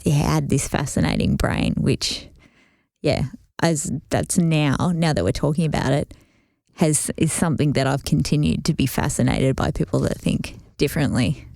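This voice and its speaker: A woman's voice: low voice, normal speed, creaky, dark tone, no echo, studio quality. A woman co-hosting a podcast, telling a rambling family story in casual chat. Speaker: podcast co-host.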